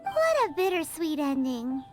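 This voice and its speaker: A woman voicing a tiny, chirpy, cheeky fairy companion in a video game, with a squeaky childlike voice and exaggerated cartoon delivery. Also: very animated, unhurried. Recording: music behind the voice.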